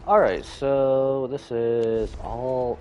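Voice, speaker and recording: A man's voice: mid-range voice, steady tone, drawn-out words, darkish tone, lo-fi sound. A man live streaming a game, casually commenting and reading dialogue aloud.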